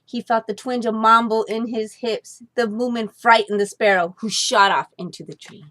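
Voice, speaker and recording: A woman's voice: fairly high pitch, steady tone, normal speed, a bit bright. Woman expressively reading a children's story. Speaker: storybook reader.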